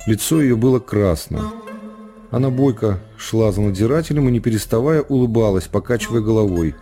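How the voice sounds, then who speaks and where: A man narrating a literary work for radio, in a measured and expressive storytelling manner. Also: on the low side, soft background music.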